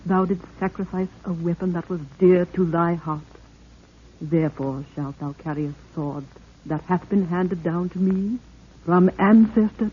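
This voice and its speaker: A woman's voice: on the low side, slight background noise, natural voice. An actress playing a regal, solemn queen who speaks stately archaic English, in 1930s radio drama style. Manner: stately, formal archaic speech. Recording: tinny, band-limited 1930s radio recording.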